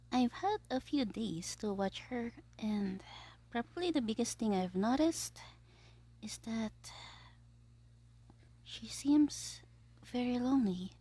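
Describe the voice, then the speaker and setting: A woman voicing a gleeful, scheming young male supervillain in an ASMR audio roleplay, theatrical and petulant, musing aloud with breathy asides. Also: on the high side, warm tone, full character voice. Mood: disappointed musing.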